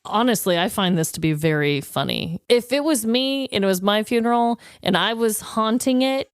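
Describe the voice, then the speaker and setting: A woman with an American accent co-hosting a podcast, chatting casually in an expressive, exclamatory way. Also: mid-range voice, moderate pace, clean tone, dry recording, studio quality. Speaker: podcast co-host. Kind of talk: casual banter and trivia chat.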